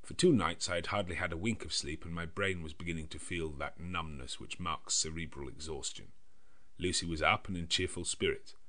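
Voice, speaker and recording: A man narrating a gothic novel in a measured, clearly enunciated literary manner. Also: low-pitched, pushed and bright. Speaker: audiobook narrator. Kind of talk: scripted narration of a gothic novel in diary form.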